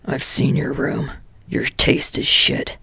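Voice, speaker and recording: A gruff, arrogant young man from an audiobook, acted out by a woman. The voice is dropped low and is heavily fried, with a strong rasp. Lines come out curt, scoffing and challenging. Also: completely flat delivery, slow, fairly bright tone, no echo, exaggerated voice. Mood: scornful. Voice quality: low, gravelly, raspy. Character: arrogant, gruff young male celebrity, challenging and foul-mouthed boyfriend.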